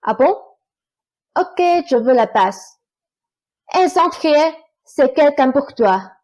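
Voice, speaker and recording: A woman's voice: sits high, lively intonation, slow, darkish tone. A woman playing a lazy, teasing young stepsister, falsely sweet and bullying, in a student radio play. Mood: mocking. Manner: teasing, offhand.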